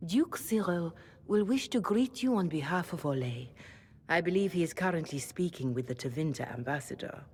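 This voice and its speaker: A woman playing a gracious, devout older cleric in a video game, speaking formally with measured courtesy and gentle frankness. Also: deep voice, lively intonation, slight background noise. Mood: composed, sincere.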